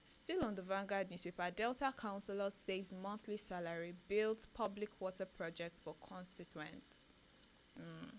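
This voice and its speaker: A woman's voice: medium pitch, unhurried, slight background noise. A woman with a Nigerian English accent co-hosting a radio breakfast show, reading newspaper stories aloud and then commenting on them with growing energy. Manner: reads headlines then comments animatedly, rising when excited. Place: radio studio.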